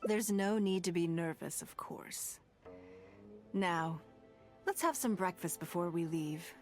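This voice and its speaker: A woman voicing a wealthy, shrewd, self-assured noblewoman in a video game, speaking in a measured, formal way with calm, teasing poise. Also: on the low side. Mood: gently teasing. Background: game background music.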